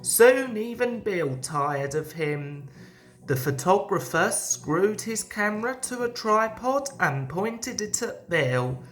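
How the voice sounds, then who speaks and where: A woman reads a children's story aloud. Her pitch moves in a lively, expressive way while the pace stays slow. Soft music sits behind her narration. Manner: expressive read-aloud delivery. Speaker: storybook reader.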